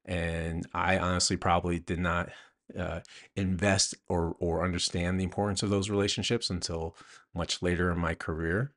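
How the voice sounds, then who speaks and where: A man answering interview questions conversationally as an author and host, thinking aloud haltingly with many a "you know". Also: deep voice, little pitch movement, a bit bright.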